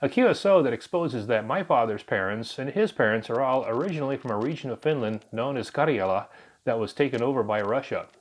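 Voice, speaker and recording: A man's voice: mid-range voice, lively intonation, brisk. Man narrating a hobby vlog. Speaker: amateur radio video narrator. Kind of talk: scripted narration.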